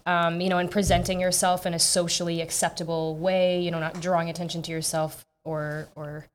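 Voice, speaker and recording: Brief: young woman co-host, lower voice, reflective and hedging. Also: level tone, fairly quick, a little hiss or hum. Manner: thoughtful, hedging with fillers. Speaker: podcast co-host. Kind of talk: casual chat.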